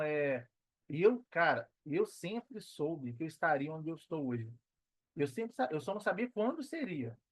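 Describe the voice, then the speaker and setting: A man's voice: fairly high pitch, no echo. A man with a Brazilian Portuguese accent speaking as a podcast guest in a casual, motivational way, repeating phrases for emphasis.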